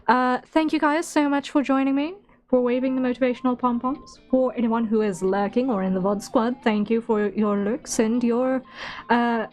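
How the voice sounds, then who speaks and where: High-voiced, slow-paced tired woman streamer. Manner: rambling, giggly, self-deprecating. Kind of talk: casual livestream chat to viewers. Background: background music.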